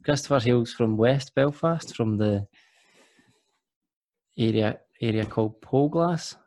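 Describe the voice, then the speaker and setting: A man's voice: medium pitch, slow, darkish tone, dry recording. A man hosting a podcast interview, chatty and jokey, often restarting his sentences. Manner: rambling, self-correcting, jokey. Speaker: podcast host.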